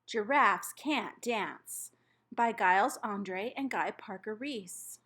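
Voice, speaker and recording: A woman reading a children's storybook aloud as a teacher would, with deliberate, animated storytelling. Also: medium pitch.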